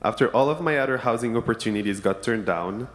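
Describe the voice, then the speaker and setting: A young man from Senegal giving an earnest, measured testimony to a church congregation. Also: medium pitch. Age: young adult. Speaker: student speaker in church service. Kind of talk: prepared testimony. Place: church sanctuary. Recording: long hall reverb.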